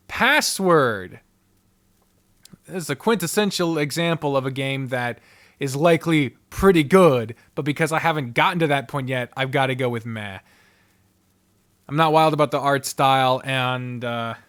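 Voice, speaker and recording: Man, higher-pitched voice, animated manner, casual unscripted host commentary, freely sweary. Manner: animated, addresses the games directly, swears freely. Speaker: video host ranking visual novels. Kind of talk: casual off-the-cuff tier-list commentary.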